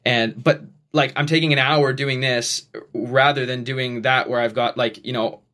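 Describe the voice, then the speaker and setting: A man in his twenties hosting a podcast from his bedroom, talking quickly with many restarts. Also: medium pitch, steady tone, sharp tone. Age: 20s. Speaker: podcast host. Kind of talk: casual chat. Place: bedroom at home. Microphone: studio mic on boom arm, headphones.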